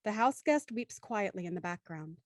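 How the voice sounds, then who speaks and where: A woman's voice: mid-range voice, expressive, moderate pace, no room sound, studio quality. A woman hosting a live audio show and reading scripted narration, addressing her listeners directly and in an organised way. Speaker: host and narrator.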